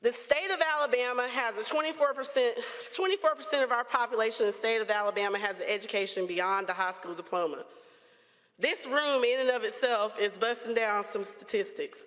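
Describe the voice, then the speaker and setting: A woman delivers an encouraging keynote address to graduates, sometimes breaking into playful asides. Her voice sits a little high and rings through a large auditorium with long reverb. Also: brisk, a bit bright. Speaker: keynote speaker. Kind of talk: motivational commencement address.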